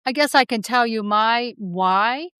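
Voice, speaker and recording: A woman's voice: a little high, a little slow, pushed and bright, clear, resonant tone, no room sound, studio quality. A woman, a past life hypnotherapist, answering interview questions in a rambling, conversational way with frequent restarts and self-corrections.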